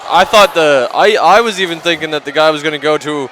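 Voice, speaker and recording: Excited colour man over a roaring arena. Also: a little high, brisk. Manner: excited, restarting sentences mid-thought. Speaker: colour commentator. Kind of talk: live hockey colour commentary. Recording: arena crowd noise behind the booth mic.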